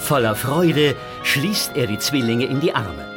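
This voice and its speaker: A man narrates a children's audio story from a script. His voice is medium-pitched, with clear music playing behind it. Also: animated, a little slow.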